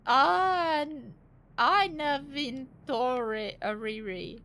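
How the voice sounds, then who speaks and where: A woman's voice: high voice, extremely slow, bright, forceful tone. A woman doing casual live game commentary, thinking aloud in a playful, cheeky, rambling way and swearing freely. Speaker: game streamer.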